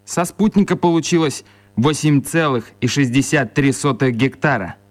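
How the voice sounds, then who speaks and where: An actor playing a plump young foreign spy, earnest and comically matter-of-fact, in a radio play. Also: male voice, medium pitch, moderate pace, lightly acted. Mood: businesslike.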